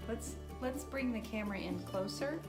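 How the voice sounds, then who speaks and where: A woman's voice: on the high side, normal speed, music in the background. A woman hosting an improvised painting demonstration, chatting casually and playfully with exclamations. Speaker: host of a painting puppet show.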